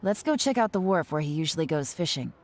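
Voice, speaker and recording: A woman voicing a calm, teasing, secretive female agent in a game. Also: deep voice, very noisy. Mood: sly. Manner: calm, sly, measured. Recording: game audio over music.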